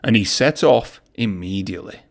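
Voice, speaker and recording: A man's voice: lowish voice, lively intonation, normal speed, gravelly. A man reading a children's story aloud in a narrating style. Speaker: storybook reader.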